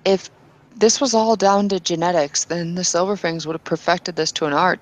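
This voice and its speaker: A woman playing Bettina, an earnest and devout kinfolk woman, arguing insistently with halting restarts in a tabletop roleplay. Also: fairly low pitch, fairly even intonation, close to an everyday voice, rough recording. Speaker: player character.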